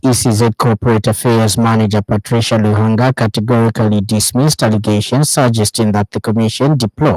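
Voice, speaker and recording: A Zambian man presents a scripted radio news bulletin. His voice sits on the low side and his delivery is formal and measured. The sound is dry, with very little room in it. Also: darkish tone, dry recording, rough recording.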